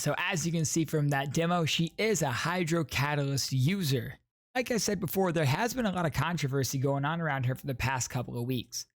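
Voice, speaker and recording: A man's voice: fairly high pitch, no room sound, clean studio sound. A man hosting a gaming video, giving informal explanatory commentary straight to his viewers. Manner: informal, addresses viewers as guys.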